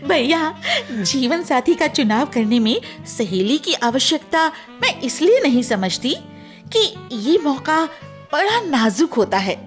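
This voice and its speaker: A woman's voice: high-pitched, big pitch swings, takes it slowly, faint music behind, heavily acted. A woman playing a playful, teasing young best friend with a giggly, dramatic manner in a radio play. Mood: flustered, playful. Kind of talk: Hindi radio play acting.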